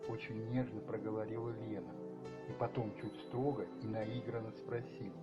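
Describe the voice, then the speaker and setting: A man narrating a radio play as scripted, measured storytelling. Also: on the low side, level tone, roomy sound, far from the mic, dull audio, music in the background.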